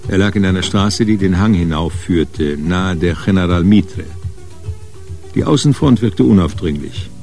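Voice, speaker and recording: A man's voice: low-pitched, measured pace, a little mellow, faint music behind. A man narrating a scripted crime radio play as a literary storyteller.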